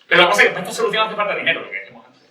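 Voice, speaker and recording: A man's voice: high voice, very animated, racing, crowd noise. A man from Cádiz speaking on a panel, rambling with many restarts and rhetorical questions. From Cádiz, Spain. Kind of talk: panel discussion. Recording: echoey room from a distance.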